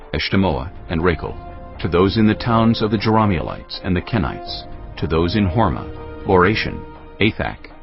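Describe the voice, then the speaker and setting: A man narrating dramatized scripture in a solemn, measured storytelling manner. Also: low voice, creaky. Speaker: Bible narrator. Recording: dull audio with music behind. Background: background music.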